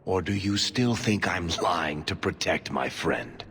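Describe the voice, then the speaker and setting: A man playing a wry, loyal storyteller under interrogation in a video game, with a husky voice and a sardonic, emphatic manner. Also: deep voice, expressive, a little slow, fairly bright tone, in a large hall, exaggerated voice. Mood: sardonic, defensive.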